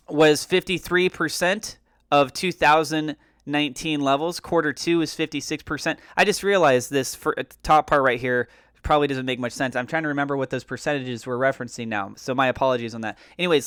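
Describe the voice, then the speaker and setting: A man's voice: on the high side, a bit bright, clean tone, no echo, clean studio sound. A man giving a casual recap as a finance YouTuber, speaking in an informal, emphatic way and correcting himself as he goes. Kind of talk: casual recap commentary over notes.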